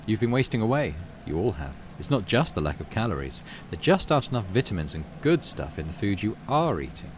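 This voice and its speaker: Male game-channel host, low voice, animated story reading with offhand commentary, noticeable background noise. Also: a little fast, hardly any pauses. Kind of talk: dramatic read-aloud narration of a game story with casual asides. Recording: clearly audible background noise.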